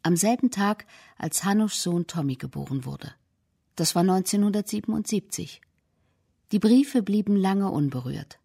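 A woman narrating a documentary in a measured, factual way. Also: medium pitch, lively intonation, gravelly, dark tone, husky.

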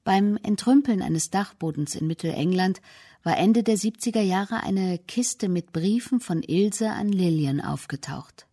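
A woman narrating a documentary in a measured, factual way. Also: mid-range voice, animated, warm tone, husky.

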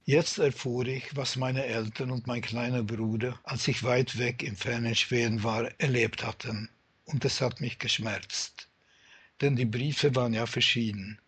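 Husky older man remembering calmly. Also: medium pitch, a little slow. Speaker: interviewee recalling his childhood. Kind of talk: personal testimony.

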